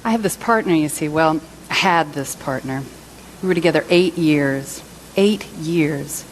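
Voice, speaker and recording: A woman's voice: low-pitched, measured pace, slight background noise, low-quality recording. A woman performing a dramatic monologue as a hurt, self-pitying jilted partner, indignant and emphatic. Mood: hurt indignation. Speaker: reader in a church service skit.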